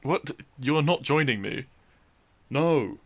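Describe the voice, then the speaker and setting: A man's voice: mid-range voice, lively intonation, takes it slowly, a bit bright, exaggerated voice. A man playing a depressed, dry-witted young man in an intimate audio roleplay, speaking with hesitant, awkward teasing to his best friend. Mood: dry, exasperated.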